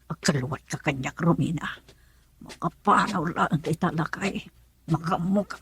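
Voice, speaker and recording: A woman's voice: low-pitched, moderate pace. A woman acting a stern, hot-tempered mother in an Ilocano radio drama, scolding emphatically. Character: stern, hot-tempered mother confronting her daughter and her suitor.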